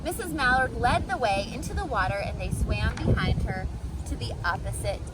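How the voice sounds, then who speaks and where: A woman reading a children's storybook aloud in an expressive, instructive way, with explanatory asides. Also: fairly high pitch, normal speed. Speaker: storybook reader. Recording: loud outdoor ambient noise.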